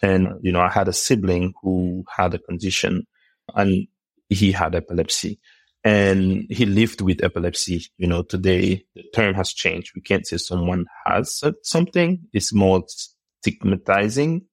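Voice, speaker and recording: Man, very deep voice, thoughtful interview guest, dry close sound. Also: no room sound. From Cameroon. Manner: reflective, unhurried storytelling with many "you know"s. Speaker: podcast guest, neurotechnologist. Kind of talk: casual interview conversation.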